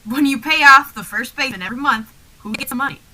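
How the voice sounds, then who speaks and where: A woman's voice: high voice, fast talker, sharp tone, low-quality recording. A young woman in a student radio play, playing a confused, exasperated man in a comedy double act with insistent questions. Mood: puzzled. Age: young adult. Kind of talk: comic double-act stage patter.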